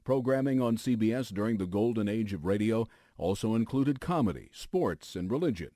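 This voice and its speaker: A man hosting a radio retrospective, reading scripted narration in a polished, measured broadcast delivery. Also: lowish voice, animated, warm tone, dry recording, studio quality. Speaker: news radio host.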